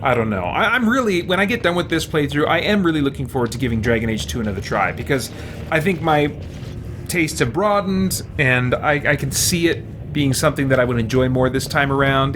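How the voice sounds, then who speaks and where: A man's voice: medium pitch, expressive, a little fast, background noise. A man streaming a video game, chatting casually and thinking aloud in a rambling, musing way. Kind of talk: casual livestream chat over gameplay.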